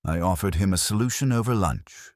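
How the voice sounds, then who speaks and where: A man narrating a scripted first-person detective story in a wry, measured storytelling manner. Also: low-pitched, dry recording, clean studio sound. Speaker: audiobook narrator.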